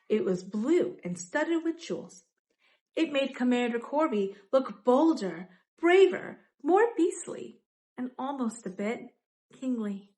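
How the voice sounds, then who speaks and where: A woman's voice: a little high, rough recording. A woman narrating a children's story as its storyteller, with dramatic, emphatic delivery that leans on descriptive words.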